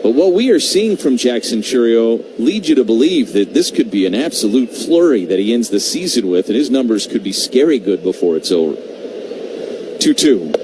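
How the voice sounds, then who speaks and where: A man doing live baseball play-by-play on radio, talking in measured short phrases with conversational asides. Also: mid-range voice, very animated. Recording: clearly noisy live radio broadcast.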